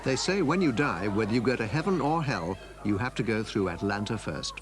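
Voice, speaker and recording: A man's voice: lowish voice, animated, a little fast. A man reading scripted narration for a documentary.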